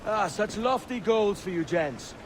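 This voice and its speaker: An actor playing a young, ambitious pirate in a video game, brash and bantering with a roguish wit. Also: male voice, high-pitched, lively intonation, a little slow, background noise. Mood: cocky, bantering. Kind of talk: naturalistic video game acting.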